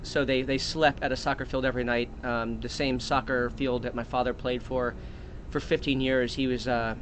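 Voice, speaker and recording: Male comic storyteller, rambling and mock-earnest, mid-pitched, faint noise behind. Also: level tone, moderate pace, fairly bright tone. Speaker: comedic storyteller. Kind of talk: improvised comic monologue.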